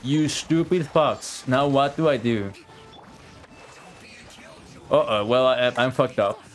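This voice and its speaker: Male streamer, mid-pitched voice, slangy, sweary, casual gameplay commentary, game music behind. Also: fairly even intonation, slow pace. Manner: profane, slangy, chatty.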